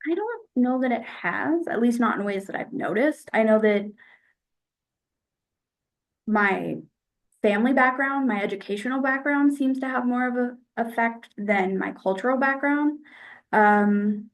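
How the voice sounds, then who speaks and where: A young woman from the United States answers interview questions in a casual, thoughtful way, pausing often to find her words. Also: on the high side, low-quality recording. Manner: thoughtful, hesitant, with fillers.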